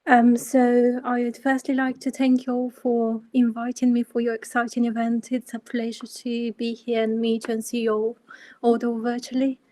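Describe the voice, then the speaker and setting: A young woman in her twenties from Bulgaria presenting her work in an online talk, measured, with frequent ums. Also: on the high side, completely flat delivery, warm tone, no room sound. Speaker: architecture student speaker.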